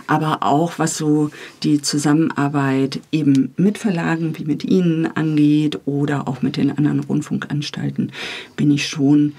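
A middle-aged woman with a slightly husky voice, talking about her work as a guest in a studio interview. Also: sits low, little pitch movement, normal speed, mellow. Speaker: interview guest, radio drama editor and director. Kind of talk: interview talk about radio drama work. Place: recording studio.

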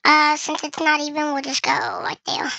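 Bright, high-pitched young gamer through voice changer. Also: monotone, normal speed, vocal fry, no echo. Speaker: gaming video creator. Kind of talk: casual gameplay commentary.

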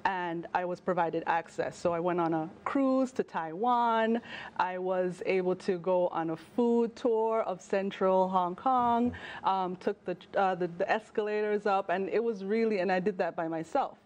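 A woman in her thirties with a Jamaican accent, a travel journalist talking as a guest in a TV studio interview, animated and chatty with fillers and restarts. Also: medium pitch, unhurried. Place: TV news studio. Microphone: lapel mic.

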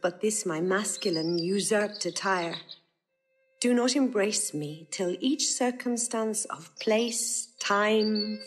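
A woman playing a sincere young noblewoman disguised as a page, in lyrical classical Shakespearean style. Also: medium pitch. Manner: solemn, lyrical verse delivery. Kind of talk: classical Shakespearean stage acting.

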